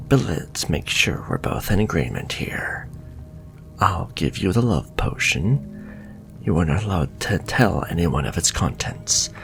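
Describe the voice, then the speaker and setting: A man's voice: low-pitched, full character voice. A man playing a sly, sadistic, flirtatious warlock in an ASMR fantasy roleplay, murmuring breathily and taunting his listener. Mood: smooth, calculating. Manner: slow, taunting, breathy murmur with soft chuckles. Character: sly, sadistic, flirtatious male warlock striking a cruel bargain.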